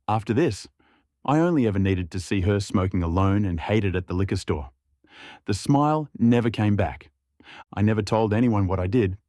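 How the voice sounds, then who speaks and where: A man narrating an online story in an even, scripted reading tone. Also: fairly low pitch, no room sound, clean studio sound.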